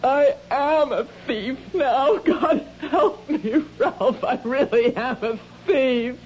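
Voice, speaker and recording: A woman's voice: sits high, slow pace, darkish tone. An actress playing a distraught, pleading married woman who confesses to stealing, in an old-time radio drama. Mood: anguished, hysterical. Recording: muffled, noisy old radio broadcast recording.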